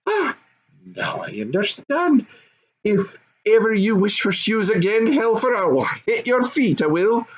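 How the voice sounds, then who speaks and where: Man doing a naggy goblin wife, insistent, pitched way up, storybook voice, kinda lo-fi. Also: very animated, unhurried. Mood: threatening. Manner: scolding, insistent. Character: sharp, nagging goblin wife and mother.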